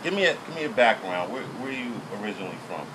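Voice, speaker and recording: A man hosting an informal local interview, speaking haltingly and often repeating his words. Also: fairly low pitch, big pitch swings, noisy background. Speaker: interviewer and host of a local business show.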